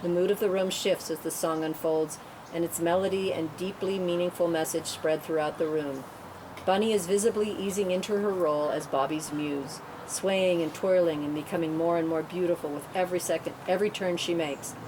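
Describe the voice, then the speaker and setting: A woman with a slightly low voice narrates stage directions at a script table read. She reads them as scripted narration, with clear background noise throughout the recording. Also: fairly even intonation, fairly quick. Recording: noisy room, rumbling ambience.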